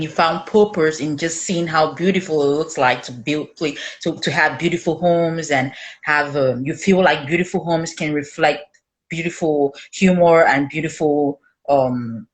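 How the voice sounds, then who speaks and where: Low-voiced woman hosting a chat. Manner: chatty, laughs often, stumbles over words. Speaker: host. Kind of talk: casual interview chat.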